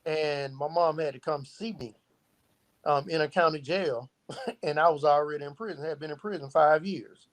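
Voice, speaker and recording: Middle-aged male interviewee, slightly high voice, casual and reflective delivery. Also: normal speed. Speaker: interview guest, founder of a youth organisation.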